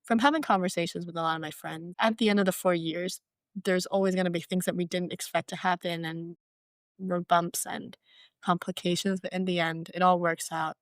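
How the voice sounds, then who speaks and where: Podcast guest, twenties, from Hong Kong, earnest and reflective, low register, dry booth sound. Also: female voice, steady tone, brisk, smooth, dry recording. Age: 20s. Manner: reflective, earnest, uses "like" and "you know" often. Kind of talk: podcast interview.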